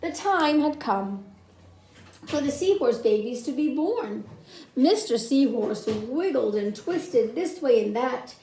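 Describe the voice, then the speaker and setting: Slow, high-voiced woman reading a storybook. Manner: expressive, addressing young listeners with questions. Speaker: storyteller reading a picture book to children. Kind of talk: read-aloud children's story with asides to listeners. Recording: roomy echo, faint background noise.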